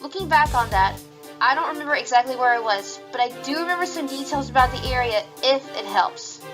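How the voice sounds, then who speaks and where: A woman's voice: high voice, lively intonation, brisk, over music. A woman playing an earnest, defensive rabbit police officer giving careful, formal testimony in an audio drama. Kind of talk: fan audio drama acting.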